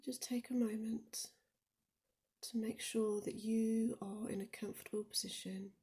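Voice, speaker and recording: A woman leading a guided meditation in a soft, soothing, often near-whispered voice, speaking deliberately with gentle pauses. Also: on the high side, fairly even intonation. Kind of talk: guided body scan meditation.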